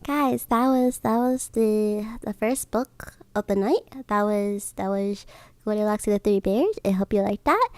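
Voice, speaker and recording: A woman's voice: mid-range voice, measured pace, a little mellow, no room sound. A woman livestreamer with a cutesy, gushing way of talking, chatting to viewers and reading bedtime stories. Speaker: streamer, host.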